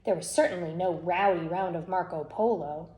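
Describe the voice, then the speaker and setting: A woman reading a children's picture book aloud as an expressive storyteller, with deliberate, dramatic phrasing. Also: lowish voice. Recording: echoey room with faint noise.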